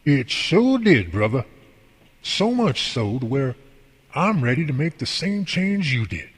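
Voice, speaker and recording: Guy playing folksy preachy workshop boss in a radio play, kinda husky, big reverb. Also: fairly high pitch. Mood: warm, appreciative. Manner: folksy, preachy, explaining at length. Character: folksy, devout male boss and craftsman, earnest mentor. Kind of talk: amateur radio drama dialogue. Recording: long hall reverb.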